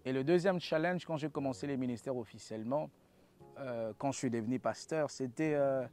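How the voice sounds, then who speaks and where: Young Congolese pastor giving testimony. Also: male voice, mid-range voice, fairly quick, darkish tone, soft background music. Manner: earnest, preacherly, with hesitant restarts. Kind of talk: personal testimony talk.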